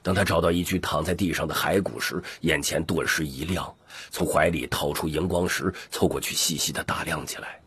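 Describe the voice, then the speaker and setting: A man's voice: very deep voice, steady tone, a little fast, few pauses, fairly bright tone, slightly rough. A man narrating an audiobook story in a dramatic, scripted storytelling style. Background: background music.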